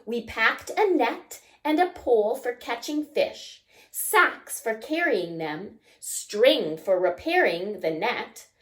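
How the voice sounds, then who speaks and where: A woman reading a picture book aloud to young children, expressively, with asides and questions to the listeners. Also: a little high. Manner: expressive, acts out sounds and asks the listeners questions. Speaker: story time reader.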